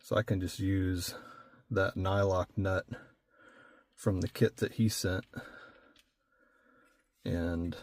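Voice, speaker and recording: A man giving a casual hands-on demonstration as host of an install video, thinking aloud in an unhurried way while he works. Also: deep voice, fairly even intonation, no room sound, clean studio sound.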